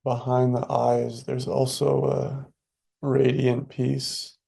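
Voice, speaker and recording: Slow, creaky, mellow man guiding meditation. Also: mid-range voice, completely flat delivery. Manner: soothing, unhurried, with long pauses. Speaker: guided meditation leader. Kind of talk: guided meditation, slow and spare.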